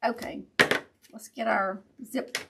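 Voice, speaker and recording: Raspy, chatty woman. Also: on the high side, fairly even intonation, slow pace, very noisy. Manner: chatty, laughs often, talks to viewers as y'all. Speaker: craft tutorial host. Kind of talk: casual live craft chat.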